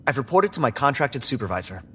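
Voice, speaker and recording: An actor playing a guarded, socially averse security android who tells its story in a dry, sarcastic, deadpan way. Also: male voice, mid-range voice, fast talker, husky, exaggerated voice. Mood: sardonic, irritated. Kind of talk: first-person audiobook narration and dialogue.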